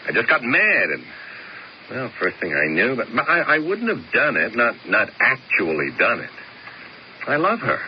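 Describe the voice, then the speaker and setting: Boyish young husband, played by an actor, halting, defensive temper, mid-range voice, dull and faintly noisy radio recording. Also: male voice, medium pitch, lightly acted. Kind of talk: old-time radio drama acting. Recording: muffled 1970s radio broadcast, faint noise.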